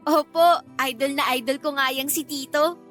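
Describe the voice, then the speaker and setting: A woman's voice: high voice, fairly even intonation, normal speed, a bit bright, faint music behind. A woman playing an eager, polite, respectful teenage niece in a radio drama. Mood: earnest. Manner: polite, earnest. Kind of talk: Tagalog radio drama acting.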